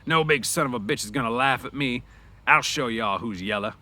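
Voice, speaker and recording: Man playing a belligerent hot-tempered ranch bully, bright. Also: medium pitch, fairly quick, pitched above the performer's own voice. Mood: furious taunting. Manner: belligerent, taunting.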